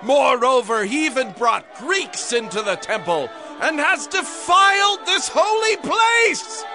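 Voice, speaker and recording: Shrill, outraged rabble-rouser. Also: male voice, sing-song, slow pace, music in the background, exaggerated voice. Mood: outraged, alarmed. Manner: shouting accusations, urgent. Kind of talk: dramatized audio Bible acting.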